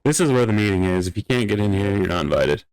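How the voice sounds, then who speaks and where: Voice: low-pitched, expressive, fast, darkish tone, smooth, low-quality recording. A player chatting and joking casually with a group during a roleplay game.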